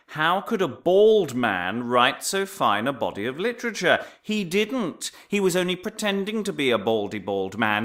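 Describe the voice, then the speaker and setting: An actor playing a pompous, paranoid crackpot professor in a comic audio drama, lecturing theatrically with dramatic pauses, moving between hushed conspiracy and emphatic declaiming. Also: male voice, mid-range voice. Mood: smugly triumphant. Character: pompous, paranoid crackpot male professor delivering absurd revelations.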